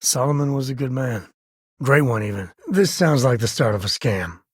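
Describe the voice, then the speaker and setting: Casting: easygoing space-western ranger dad, video game. Husky, folksy, wry, mid-pitched, close dry recording. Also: male voice, animated, normal speed, no echo. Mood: relaxed, sincere. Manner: folksy, wry, casual drawl of phrasing. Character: easygoing male space-western ranger and devoted single father. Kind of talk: video game voice lines, conversational.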